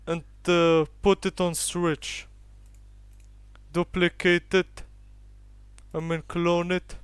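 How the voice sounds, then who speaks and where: A young man giving a calm, matter-of-fact step-by-step tutorial voiceover. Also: high-pitched, clean tone, no echo. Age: young adult. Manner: flat, unhurried, matter-of-fact instructions. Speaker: tutorial youtuber.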